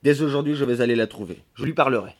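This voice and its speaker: Man voicing a young male lodger, penniless, sullen, curt, literary reading, mid pitch. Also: fast, lightly acted. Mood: irritated.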